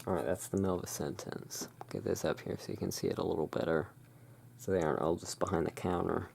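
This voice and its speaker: A person playing the soft-spoken, musing owner of a gothic curiosity emporium in a halting, hushed ASMR roleplay. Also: deep voice, fairly even intonation, vocal fry, slight background noise. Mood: musing, relaxed.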